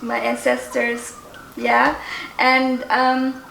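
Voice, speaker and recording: A woman's voice: sits high, monotone, extremely slow, creaky, a bit bright. A woman from South America casually introducing herself as a guest on a panel. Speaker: performer, dancer, panel guest. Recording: echoey room, faint noise.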